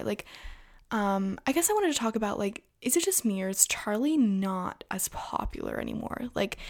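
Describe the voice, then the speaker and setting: A young woman with a raspy voice today, chatting casually to her viewers about influencer news and peppering her talk with "like" and "you know". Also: a little high. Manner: chatty, filler-heavy, frequent "like" and "you know". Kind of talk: casual gossip commentary to viewers.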